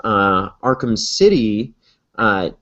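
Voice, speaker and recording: A man co-hosting a casual podcast, measured and hesitant, with many fillers. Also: lowish voice, sing-song, pushed and bright. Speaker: podcast co-host. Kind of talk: casual chat. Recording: lo-fi podcast audio.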